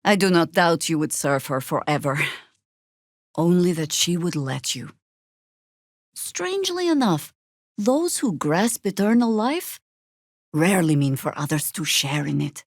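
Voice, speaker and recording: A woman playing a stern, wry older female druid warrior in a video game, speaking in a dry, measured, sardonic way. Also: on the low side, lively intonation, dry recording. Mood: dry, commanding. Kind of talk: scripted video game character lines.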